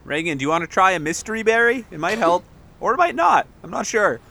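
A woman's voice: mid-range voice, sing-song, fast, creaky, bright, forceful tone. Teasing dry-humored woman player. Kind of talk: casual tabletop play.

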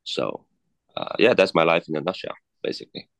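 A man in his thirties from China, a native Cantonese speaker, talking haltingly as an interview guest with many fillers and restarts. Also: low voice, expressive, gravelly, a bit bright, no room sound. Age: 30s. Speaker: guest, watch collector. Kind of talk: casual interview chat.

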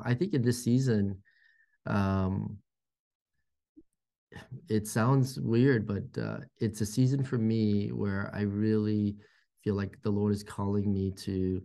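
A man in his forties, a pastor and guest, talking reflectively about faith, halting with many fillers and restarts. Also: fairly low pitch, creaky, dark tone. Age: 40s.

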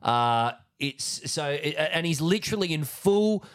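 A man's voice: on the high side, lively intonation, a little slow, clear, resonant tone. A young man co-hosting a podcast, chatting casually and reading a news story aloud with jokes and laughs. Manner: jokey, laughs through lines, mock accents. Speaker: podcast co-host.

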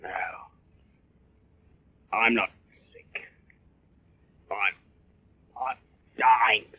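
Dazed dying man, deep, faint, dragging speech, muffled old radio drama. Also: steady tone, very slow, heavily acted. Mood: dazed, fading. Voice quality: husky, faint. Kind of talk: 1940s radio acting.

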